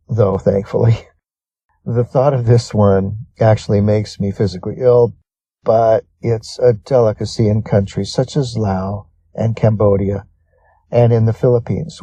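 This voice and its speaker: A man with a very dark, soft-edged tone reads a prepared article as narrator. His voice is slightly husky and sits at an average male pitch. The sound is close and dry, with little room in it. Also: no room sound. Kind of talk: scripted reading of a list article.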